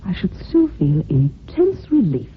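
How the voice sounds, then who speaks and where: An actress playing a prim, defensive, devoted secretary who speaks formally and emphatically in an old-time radio drama. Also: female voice, on the low side, huge pitch swings, a little slow, a little hiss or hum. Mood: bitter, frank. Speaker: actor in a radio mystery. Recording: thin, phone-like old radio recording.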